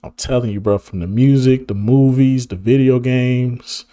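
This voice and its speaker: A hoarse man of about thirty, talking casually to camera in a rambling, slang-heavy way and laughing often. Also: medium pitch, fairly even intonation, normal speed, mellow, dry recording, clean studio sound. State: hoarse, losing his voice. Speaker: video creator. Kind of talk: casual reminiscing monologue to camera.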